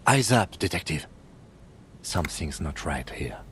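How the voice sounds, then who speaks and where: Low-voiced, dry police lieutenant. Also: male voice, very animated, unhurried, background noise. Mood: wary. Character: composed, dry, disapproving male police lieutenant.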